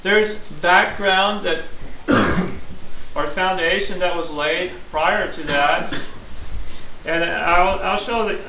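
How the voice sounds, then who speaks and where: Gravelly high-voiced preacher in an echoey room. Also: male voice, steady tone, slow, long pauses, pushed and bright. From Missouri, USA. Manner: plain-spoken, earnest, explains scripture step by step. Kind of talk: sermon. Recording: echoey room from a distance, faint hiss.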